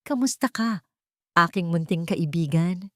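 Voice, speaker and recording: Woman voicing a kind, gentle old grandfather. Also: fairly low pitch, expressive, measured pace, dry recording, clean studio sound. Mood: warm.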